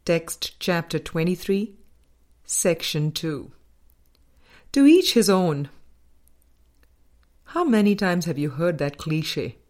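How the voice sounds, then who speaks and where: A woman reading a spiritual book aloud as a scripted audiobook, in a measured, deliberate manner with pauses between phrases. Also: on the low side, animated, darkish tone. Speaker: audiobook reader.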